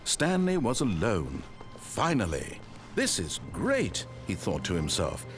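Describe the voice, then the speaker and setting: A wry, low-pitched game narrator. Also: male voice, huge pitch swings, full character voice. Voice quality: measured, ironic storytelling. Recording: game audio with faint music.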